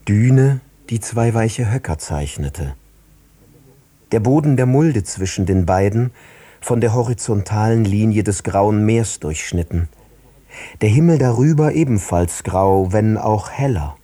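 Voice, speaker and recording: A man giving a scripted narration of literary prose, measured and delivered phrase by phrase. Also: lowish voice, lively intonation, dark tone, breathy edge, no room sound.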